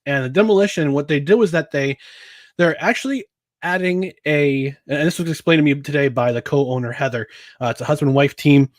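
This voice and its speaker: Dude hosting a solo sports podcast, rambly with lots of uhs, kinda high voice, dry close mic. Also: male voice, a little fast, no echo. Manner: rambling, chuckling, many fillers and restarts. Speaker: podcast host. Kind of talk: casual solo sports and beer talk.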